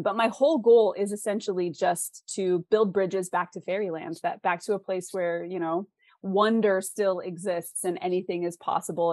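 A woman's voice: fairly low pitch, darkish tone, dry recording. A woman speaking casually as an author being interviewed on a podcast. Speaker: podcast guest, fantasy author.

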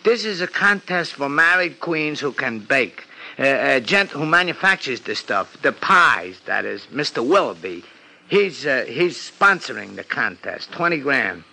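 A man's voice: mid-range voice, big pitch swings, a little slow, gravelly, bright, forceful tone, full character voice, low-quality recording. An actor playing an anxious, streetwise city florist in a 1950s radio detective drama, talking in slangy, ungrammatical tough-guy style. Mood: worried, proud.

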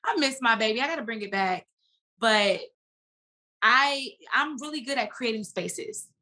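A woman guest talking casually and informally in an interview, slangy and animated as she tells stories and quotes herself. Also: on the high side, a little slow. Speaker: podcast guest, actress and business owner.

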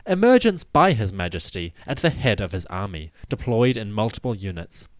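A man giving a scripted, dramatic narration of ancient history in a measured, solemn storytelling manner. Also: on the low side, sing-song, dry recording. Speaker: podcast host and narrator.

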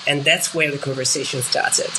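A woman's voice: very deep voice, fairly even intonation, normal speed. A woman co-hosting a podcast, talking with enthusiasm in long run-on sentences. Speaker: podcast co-host. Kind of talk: casual interview chat. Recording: noisy background.

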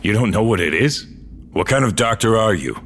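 An actor playing a weary, stubborn old cyborg courier in a science-fiction audio drama, gruff, terse and dry. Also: male voice, lowish voice, animated, fairly quick, fairly bright tone, slight background noise. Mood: wary. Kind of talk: audio drama acting and first-person narration.

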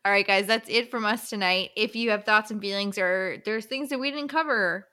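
A woman's voice: mid-range voice, moderate pace, pushed and bright, clean tone. A woman co-hosting a podcast with casual, playful, jokey banter. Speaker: podcast co-host.

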